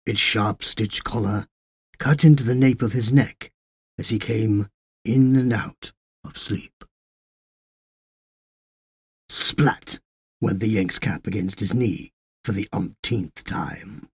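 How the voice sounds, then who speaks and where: Male narrator for weird fiction: very raspy, dry close sound, measured dramatic reading. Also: on the low side, no room sound. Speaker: audiobook narrator. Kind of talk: scripted literary story narration.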